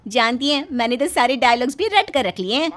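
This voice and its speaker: A woman voicing a young, bold, cheerful daughter-in-law obsessed with films, playful and theatrical in an animated story. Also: high-pitched, brisk. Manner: playful, theatrical, delivers dramatic movie-style lines. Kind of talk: animated moral story dialogue.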